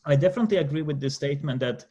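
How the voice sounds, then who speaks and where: A young man from Finland with a Finnish accent, speaking as a debate panelist on a video call, often pausing and restarting. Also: a little high, animated, warm tone, lo-fi sound. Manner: hesitant, many restarts and fillers.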